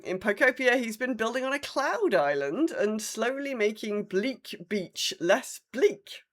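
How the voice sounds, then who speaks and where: A woman with a voice of medium pitch co-hosts a casual podcast. She is chatty and informal, repeating words to stress them as she goes through listener updates. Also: fairly bright tone. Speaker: podcast co-host. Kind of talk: casual podcast chat, reading community updates.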